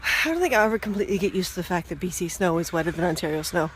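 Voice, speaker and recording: A woman's voice: on the low side, animated, racing, a bit bright, low-quality recording. Chatty woman on a street. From Toronto, Canada. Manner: chatty, upbeat, talking to camera while walking. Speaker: vlogger. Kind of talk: casual walking vlog. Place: snowy city street outdoors. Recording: outdoor street and traffic noise. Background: traffic.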